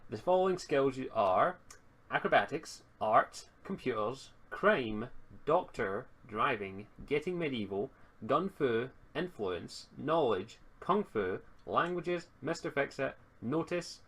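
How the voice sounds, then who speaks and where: A man's voice: medium pitch, animated, slow pace, fairly bright tone, slightly rough, rough recording. A man acting as game master, explaining tabletop game rules over an online call, often stammering and restarting his words.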